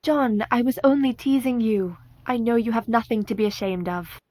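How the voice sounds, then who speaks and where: An actress playing a devoted, steadfast young Victorian wife in a radio play, formal and tender. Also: female voice, a little high, normal speed, warm tone, no room sound, lightly acted. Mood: gently teasing.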